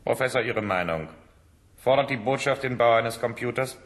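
A man plays a stern official in a vintage radio play. He speaks curtly and with command, at a middling pitch, in a long reverb. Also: moderate pace, fairly bright tone, natural voice. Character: stern, authoritative male government official refusing requests. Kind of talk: 1970s German radio drama acting. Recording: long reverberant hall sound.

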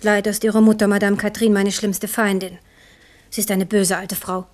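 A woman plays a stern, devout queen mother whose words come earnest and firm, often dropping to a hushed whisper. She sounds grave and protective in a 1970s radio play. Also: medium pitch, flat delivery, normal speed, natural voice. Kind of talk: 1970s radio drama acting.

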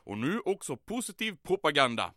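A vain commandant for an old-style radio play. The voice is bright and forceful, swooping widely in pitch. He is pompous and theatrical, as ready to bark orders as to pity himself, and is recorded very dry. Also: sing-song, dry recording. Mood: pompous, bossy. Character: vain, bombastic German Nazi commandant, easily flustered. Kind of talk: old-time radio comedy drama acting.

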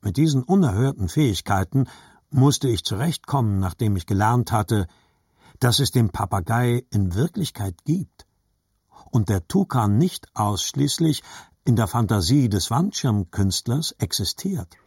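Man, medium pitch, husky, dry close sound, literary audiobook narration. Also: lively intonation, unhurried, darkish tone, dry recording.

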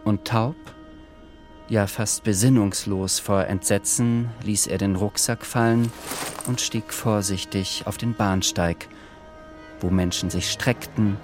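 Low, slow, solemn narrator. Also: male voice, fairly even intonation, music in the background. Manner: measured, solemn. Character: solemn literary narrator of a war homecoming. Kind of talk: scripted literary narration in radio drama.

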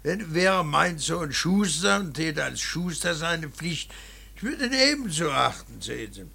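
Gruff old artist, slow, creaky and raspy, forceful, moralising, radio drama. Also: male voice, on the high side, very animated, full character voice. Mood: emphatic. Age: older. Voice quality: gravelly, rasping. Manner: emphatic, repetitive, moralising. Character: gruff, earnest old painter preaching work and duty. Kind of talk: German radio drama acting.

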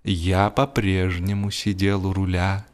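A man narrating a humorous literary story in a theatrical, mock-lofty manner, with expressive phrasing and deliberate pauses. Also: deep voice. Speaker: audiobook narrator. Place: studio. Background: music.

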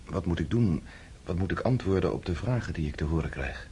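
A man's voice: low voice, expressive, a little fast, warm tone, a little hiss or hum. An actor playing an earnest, hesitant young count in a radio drama, speaking formally as he seeks to reform himself. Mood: earnest, uncertain. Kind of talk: radio drama acting and first-person narration.

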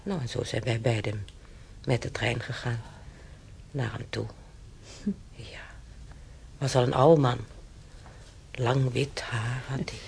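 A man's voice: mid-range voice, fairly even intonation, takes it slowly, vocal fry, pauses often, darkish tone, a little hiss or hum. An actor in a radio play voicing a chatty, stubborn old German-born man who rambles through his memories with hesitations. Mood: earnest reminiscing. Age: older. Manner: rambling, reminiscing, with hesitations and tag questions. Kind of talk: conversational radio play acting.

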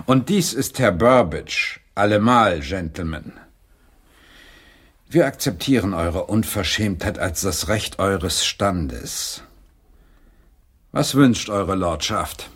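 An actor playing a weary, irritable middle-aged English playwright in a German radio play, grumbling and sarcastic. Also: male voice, fairly low pitch, sing-song, slow pace, slightly rough, exaggerated voice. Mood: indignant.

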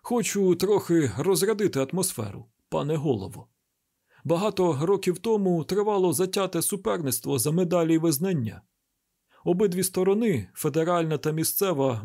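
A man plays a federal special agent in an audiobook crime novel. He speaks frankly and diplomatically, in a husky, slightly high voice. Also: dark tone.

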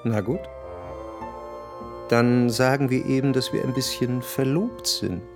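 A man's voice: mid-range voice, steady tone, a little slow, natural voice. An actor in a radio drama playing a nervous, brooding, insistent young man. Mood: persuasive. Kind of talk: German radio drama acting. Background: faint music.

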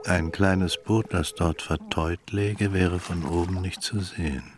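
An actor playing a composed, courteous and learned middle-aged judge in a radio drama, speaking formally and in measured phrases. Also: male voice, sits low, fairly even intonation, slight background noise. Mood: thoughtful, calm.

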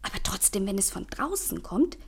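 An actress playing an affectionate but frightened thirty-year-old sister in a German radio play, with a breathy voice. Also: female voice, mid-range voice, very animated, normal speed. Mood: hushed, uneasy. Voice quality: breathy, hushed.

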